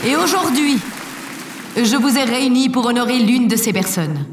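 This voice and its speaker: A woman's voice: on the high side, lively intonation, normal speed, crowd noise, close to an everyday voice. An actress playing a solemn, self-assured female president giving a formal, oratorical speech in an audio drama. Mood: solemn, stately. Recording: echoey hall PA, distant, faint noise.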